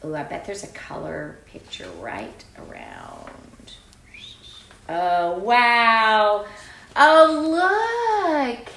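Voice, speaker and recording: A middle-aged woman reading a picture book aloud to children, expressive and exclaiming, with chatty conversational asides. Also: on the high side, drawn-out words, creaky, a little hiss or hum. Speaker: children's storyteller and drama teacher. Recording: roomy, echoey sound.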